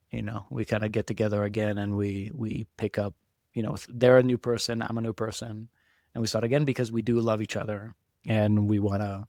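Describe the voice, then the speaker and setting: A man's voice: mid-range voice, gravelly, darkish tone, no echo, studio quality. A man, giving reflective, conversational answers as an interview guest. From Israel. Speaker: podcast guest, entrepreneur.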